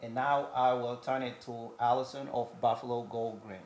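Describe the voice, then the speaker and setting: A man from Somalia, a farmer and community organizer, speaking at a live event in a measured way with pauses. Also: mid-range voice, little pitch movement, darkish tone, a little hiss or hum.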